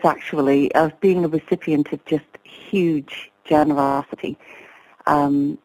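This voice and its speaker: A middle-aged woman speaking as an interview guest, reflective and hesitant, often pausing to find her words. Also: low voice, rough recording. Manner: soft, hesitant, reflective, with pauses and fillers.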